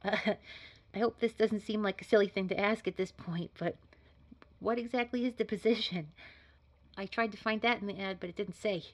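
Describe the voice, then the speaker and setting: A woman's voice: mid-range voice, steady tone, moderate pace, natural voice. A woman playing an eager young job applicant in an audio roleplay, hesitant, gushing and nervously bubbly. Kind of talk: scripted audio roleplay acting.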